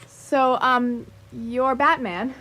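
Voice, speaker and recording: A woman's voice: high-pitched, steady tone, drawn-out words, fairly bright tone, heavily acted. A woman playing a confident, direct and flirtatious karate teacher in a radio theatre comedy, patient and probing. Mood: curious.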